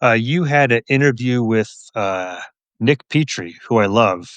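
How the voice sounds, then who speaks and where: A middle-aged man, an author being interviewed, chatting casually in an understated, measured way. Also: medium pitch, lively intonation, no echo. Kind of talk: casual chat.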